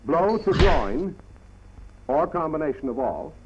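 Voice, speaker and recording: High-pitched American man, vintage training film narrator. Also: very animated, measured pace, noisy background. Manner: formal, clipped, instructional delivery. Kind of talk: scripted 1940s military instructional film narration. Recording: dull vintage 1940s film soundtrack.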